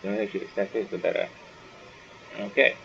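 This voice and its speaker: A man's voice: low voice, fairly even intonation, fairly quick, creaky, over loud music. A man giving casual gaming commentary, muttering and thinking aloud as he plays. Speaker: gaming video host. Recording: dull lo-fi mic with faint noise.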